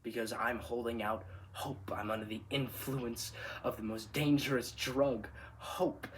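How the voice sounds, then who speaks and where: A man performing a dramatic monologue as a wounded, quietly bitter son, speaking in a subdued, earnest and deliberate way. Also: mid-range voice, little pitch movement, slight background noise, close to an everyday voice.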